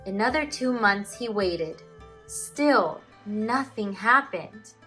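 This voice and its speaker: A woman teacher reads to children in an unhurried, deliberate storytelling voice. Her intonation is very animated, rising and falling to give phrases emphasis, with music present in the recording. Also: medium pitch. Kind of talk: expressive children's storybook reading.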